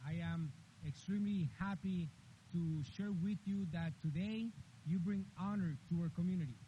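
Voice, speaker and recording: Commencement speaker, man, high mellow voice, dull hissy lo-fi recording. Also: moderate pace. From Honduras. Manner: earnest, repetitive emphatic phrasing. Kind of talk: graduation speech. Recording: dull lo-fi with faint hiss.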